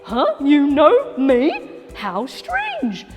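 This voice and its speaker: An excitable little boy puppet, performed by a woman, tells his adventure in a very high, swooping voice. The delivery is dramatic and drawn out, ringing through a big reverberant hall. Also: muffled recording, full character voice. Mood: wondering. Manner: exclamatory, dramatic, drawn-out storytelling with sound effects. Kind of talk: children's puppet storytelling. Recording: echoey hall with music.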